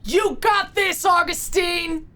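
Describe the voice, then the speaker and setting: A woman voicing a brash, defiant young woman who calls out loudly and cockily in an audiobook drama. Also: very high voice, fairly even intonation, slow pace, voice pushed up, full character voice. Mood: cheering. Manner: loud, cocky, theatrical calling out. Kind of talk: audiobook character acting.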